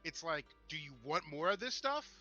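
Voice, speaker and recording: A man's voice: a little high, big pitch swings, moderate pace, sharp tone, faint music behind. A man co-hosting a podcast, chatting casually in conversational discussion. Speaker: podcast co-host. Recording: dull, lo-fi voice audio.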